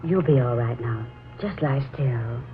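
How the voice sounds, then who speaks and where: A woman's voice: extremely low pitch, a little slow, faint music behind. An actress playing an anxious, wistful young woman with a hesitant, earnest way of talking in a 1940s radio drama. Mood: concerned. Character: anxious, wistful young woman attached to her family house. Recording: muffled, noisy 1940s radio recording.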